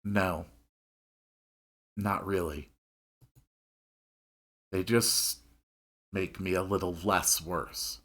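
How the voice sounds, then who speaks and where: Reserved, downcast male student in a visual novel, read by a man: husky, slow, over music. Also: deep voice, fairly even intonation, a bit bright, voice pushed down, exaggerated voice. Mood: subdued. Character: reserved, awkward teenage schoolboy, guarded and downcast.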